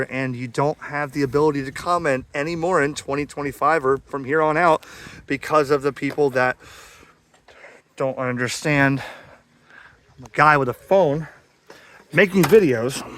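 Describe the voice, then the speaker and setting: An American man with a slightly high voice, speaking casually as a radio hobbyist. He is plain-spoken and informal, talking outdoors and using ham radio phrasing. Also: a bit bright. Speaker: radio hobbyist making videos. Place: backyard by a metal shed, outdoors.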